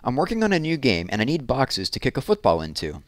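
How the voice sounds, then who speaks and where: A man's voice: medium pitch, huge pitch swings, quick pace, no echo, pitched above the performer's own voice. Man plays eager young male gym instructor, storybook dialogue; earnest, emphatic, dry sound.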